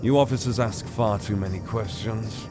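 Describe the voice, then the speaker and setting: An actor in an audio drama playing a suave, cheeky master thief with flowery, mock-courteous manners. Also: male voice, fairly low pitch, moderate pace, music in the background, natural voice. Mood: wry, evasive.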